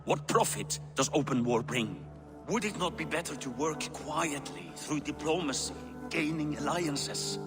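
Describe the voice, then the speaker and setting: An actor playing an older Viking king who speaks in a measured, persuasive, lordly manner. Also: on the high side, natural voice. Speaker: game character. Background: game score music.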